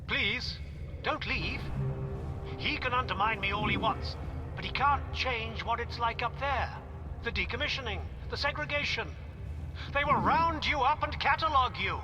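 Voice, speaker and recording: High, desperate cult leader. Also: male voice, expressive, normal speed. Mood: desperate, pleading. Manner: impassioned, pleading. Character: desperate, fervent male cult leader pleading with followers. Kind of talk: video game dialogue acting. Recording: game music behind the voice.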